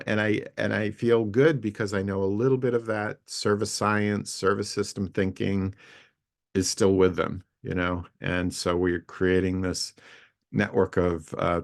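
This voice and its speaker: A man in his late sixties with a very low, gravelly voice, speaking over a video call. He rambles in a friendly, unhurried way, stopping to restart his sentences and filling gaps with "um" and "uh". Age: 60s. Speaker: co-founder introducing an online community session. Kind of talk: casual spoken introduction on a video call.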